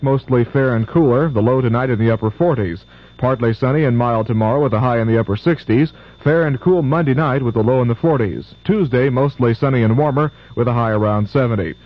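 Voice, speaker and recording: A man with an American accent reading scripted news and sports as a radio announcer. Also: medium pitch. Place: radio studio. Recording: dull lo-fi old radio broadcast.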